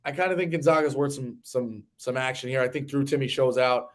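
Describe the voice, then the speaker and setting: A man's voice: mid-range voice, a little fast. A man in his thirties hosting a sports betting show, talking in a casual, rambling, conversational way. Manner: rambling, conversational, occasional swearing.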